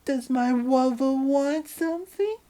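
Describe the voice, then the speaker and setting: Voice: high voice, slow, warm tone, voice pushed up. A performer voicing a breathless, adoring lover with gushing, exaggerated delivery in a comic erotic audio story. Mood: breathy, eager. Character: breathless, adoring lover in a squeaky cutesy falsetto.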